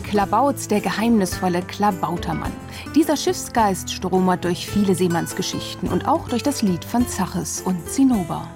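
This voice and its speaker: Female presenter, children's radio, medium pitch, scripted intro over music. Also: lively intonation, normal speed, hardly any pauses.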